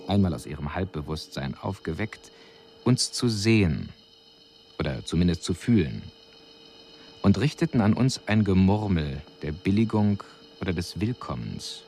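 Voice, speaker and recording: An actor playing a detached alien envoy who delivers a formal, precise, clinical report in a radio drama. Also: male voice, sits low, darkish tone, slight background noise. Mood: clinical, observing.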